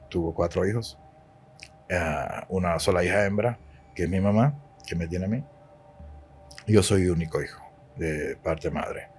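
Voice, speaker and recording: Cuban guy in his 40s, priest on a podcast, low voice, leans hard on words and says them twice. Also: darkish tone, a little hiss or hum. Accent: Cuban Spanish. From Cuba. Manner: emphatic, preachy, repeats key words. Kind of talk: podcast interview.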